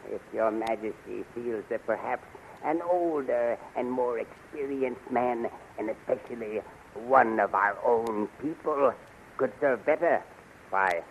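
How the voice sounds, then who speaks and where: An actor playing a scheming older majordomo, formal and obsequious, in a 1930s radio serial. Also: male voice, on the low side, measured pace, creaky, highs cut off. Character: scheming, flattering older male courtier and majordomo. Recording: noisy 1930s radio recording.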